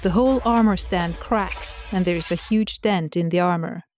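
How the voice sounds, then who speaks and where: A woman's voice: lowish voice, normal speed, a little mellow, soft background music. A woman giving scripted narration as the storyteller of an audio drama.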